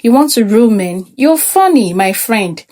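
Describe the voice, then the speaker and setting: A woman's voice: a little high, animated, moderate pace, a little mellow, natural voice. A woman voicing a teasing but wary teenage best friend in a narrated folktale. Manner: playful, wary.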